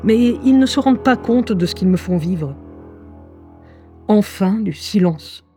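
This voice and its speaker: A woman's voice: mid-range voice, lively intonation, measured pace, mellow, breathy edge, soft background music. An actress playing a weary, sardonic woman who narrates her diary in a confiding way in a French radio drama. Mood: weary, wry. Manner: wry, exasperated, confiding.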